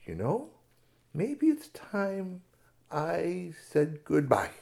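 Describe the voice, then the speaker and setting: A man voicing a cheerful, gentle outdoorsman who talks in a friendly, coaxing way in a storybook tale. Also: mid-range voice, lively intonation, normal speed, close to an everyday voice.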